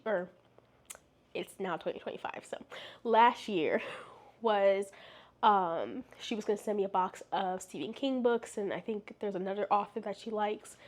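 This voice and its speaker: A woman's voice: mid-range voice, darkish tone. A young woman book vlogger talking casually to camera, rambling with lots of fillers and restarts, a little congested from a cold. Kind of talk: casual chatty vlog.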